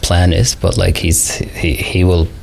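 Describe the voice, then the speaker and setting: A middle-aged man from Saudi Arabia, a proud father being interviewed on radio, speaking haltingly with many restarts and fillers. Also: deep voice, monotone, gravelly, slight background noise. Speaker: father of a young champion, guest.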